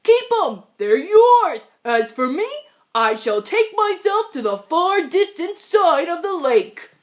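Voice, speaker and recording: A woman voicing a soft-hearted, put-upon male moose from a storybook, speaking out in earnest, plaintive exclamations. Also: very high voice, sing-song, takes it slowly, voice pushed up, heavily acted. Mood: triumphant.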